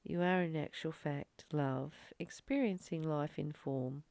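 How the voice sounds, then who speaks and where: A woman giving an informal spiritual talk as a teacher, earnest, phrasing things with deliberate, emphatic pauses. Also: sits low, vocal fry, darkish tone, no room sound. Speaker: spiritual teacher leading a daily course study.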